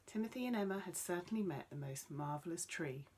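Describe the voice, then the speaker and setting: A woman storyteller narrating a bedtime story for children in an expressive style. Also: on the low side, fairly quick, slight background noise.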